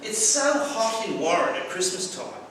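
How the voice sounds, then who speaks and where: A man's voice: high voice, very animated, moderate pace, a bit bright. Australian man telling stories in an echoey hall. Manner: anecdotal, wry humour, occasional stumbling repeats. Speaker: club speaker. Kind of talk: prepared personal speech. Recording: very echoey room, distant mic, clear background noise.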